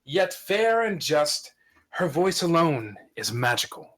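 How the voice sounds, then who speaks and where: Man reading as a devoted, high-toned fatherly dragon. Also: very animated, slow, fairly bright tone, exaggerated voice. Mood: admiring. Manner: earnest, grandiose, storybook phrasing. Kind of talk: table read of a fantasy film script.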